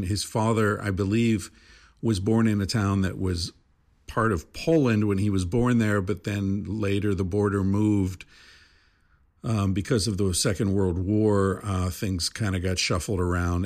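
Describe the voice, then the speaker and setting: A middle-aged man hosting a podcast, talking casually and rambling, with lots of fillers and the odd swear word. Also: sits low. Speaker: podcast host. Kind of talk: casual podcast intro monologue.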